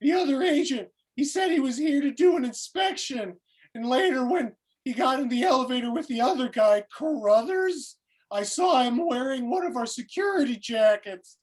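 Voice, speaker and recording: A woman's voice: high voice, lively intonation, darkish tone, slightly rough, low-quality recording. Flustered, hesitant young security kid, voiced by a woman. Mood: flustered, defensive. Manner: halting, unsure. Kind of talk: script table read acting.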